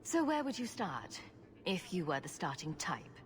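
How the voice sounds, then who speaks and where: A woman's voice: fairly low pitch, very animated, a little slow. An actress playing a bold, sarcastic female rogue adventurer in a video game, with a teasing, challenging manner. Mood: wry, probing. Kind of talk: video game cutscene acting. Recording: clear background ambient noise.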